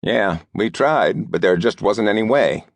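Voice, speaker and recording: Man voicing grudging, gravelly-voiced proud lord. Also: fairly low pitch, animated, measured pace, no room sound, pitched above the performer's own voice. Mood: defensive. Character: proud, envious lord, grudging fireman cousin. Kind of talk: audiobook character dialogue.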